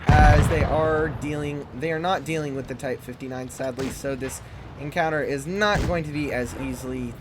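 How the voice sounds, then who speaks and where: A man hosting a gaming channel, reviewing a tank game in loose, fast, chatty commentary. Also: a little high, steady tone, heavy background noise.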